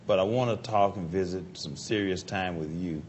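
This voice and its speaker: Male host, middle-aged, folksy and encouraging, slightly low voice, faint low rumble. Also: moderate pace, a little mellow. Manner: folksy, repeats himself, affirming. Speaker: local TV show host. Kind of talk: public access interview.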